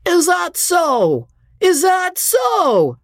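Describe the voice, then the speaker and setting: A woman voicing a drunken man heckling from the audience in a slow, mocking drawl. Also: extremely high pitch, wildly swooping pitch, no echo, clean studio sound, pitched above the performer's own voice, heavily acted.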